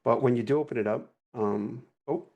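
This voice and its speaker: A man's voice: mid-range voice, normal speed. A man talking casually on a livestream, often stopping to correct himself as he walks through a project. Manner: relaxed, rambling, self-correcting. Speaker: guest animator.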